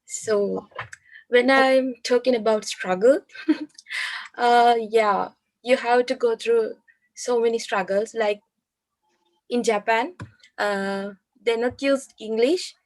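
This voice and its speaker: A woman's voice: on the high side, takes it slowly, pauses often, rough recording. A woman speaking English as an international student on a video call, hesitant and apologetic, searching for words. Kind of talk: webinar talk.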